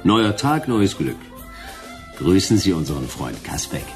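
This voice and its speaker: An actor playing a laconic hard-boiled detective in a radio crime drama, with gravelly voice and dry, terse delivery. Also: male voice, low voice, very animated, unhurried, faint music behind. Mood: cool, assured. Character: laconic hard-boiled male detective, wryly narrating and talking.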